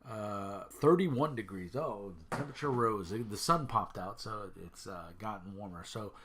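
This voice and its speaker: A man's voice: fairly low pitch, moderate pace, a crowd in the background. A man in his thirties from Minnesota, stuffy with a head cold, rambling casually with many fillers as he reviews tabletop games for his channel.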